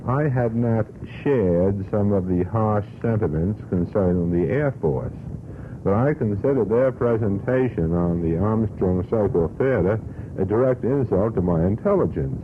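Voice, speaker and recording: A man with a lowish voice talks on a radio panel. He is formal and wordy, stating his opinions at length. The old recording is thin and narrow, with some noise underneath. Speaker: radio panelist questioning a guest. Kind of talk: unscripted radio panel discussion. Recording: tinny, noisy vintage radio broadcast.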